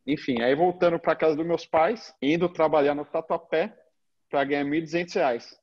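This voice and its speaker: A man's voice: on the high side, steady tone, normal speed, darkish tone, husky. São Paulo man chatting, slightly muffled. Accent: São Paulo Brazilian Portuguese. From São Paulo, Brazil. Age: 30s. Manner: informal, slangy, frequent tag questions. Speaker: interview guest, agency founder. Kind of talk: casual anecdotal chat. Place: indoor room at home, video call. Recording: slightly dull video-call audio.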